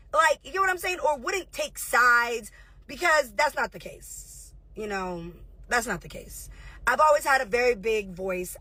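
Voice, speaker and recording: A woman in her thirties goes off in a confrontational, profane livestream rant. Her voice sits high and sounds bright and cutting. It is recorded close up, dry, with no room sound. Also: slightly rough, dry recording. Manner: confrontational, profane, rapid-fire. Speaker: reality show host and producer.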